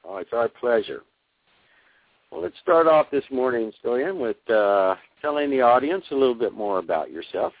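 A man's voice: mid-range voice, animated, moderate pace, no room sound. A man hosting an interview show, reading a polished intro and asking questions. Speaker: radio show host. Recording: tinny phone-like audio with faint music.

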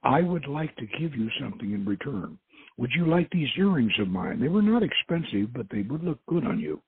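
A man's voice: medium pitch, big pitch swings, fairly quick, slightly rough, no room sound, heavily acted. A man reading the part of a gracious, confident woman archaeologist in an adventure novel, politely and with bookish assurance. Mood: warm, grateful. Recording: muffled narrowband radio-like audio.

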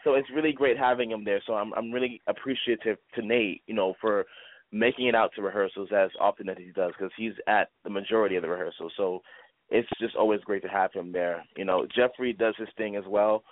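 A man's voice: fairly low pitch, steady tone, fairly quick. A man who acts on stage, answering as an interview guest in a casual, appreciative, conversational way. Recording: dry, phone-like band-limited line.

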